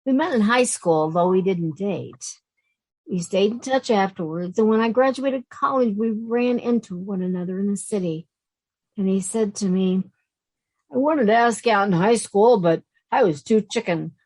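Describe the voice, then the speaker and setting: An actress playing a mature, conflicted widow in a stage play reading, plain-spoken and emotional. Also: female voice, medium pitch, normal speed, a little mellow, natural voice, low-quality recording. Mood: fond remembrance. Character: Meryl, mature widow, conflicted between fondness and anger.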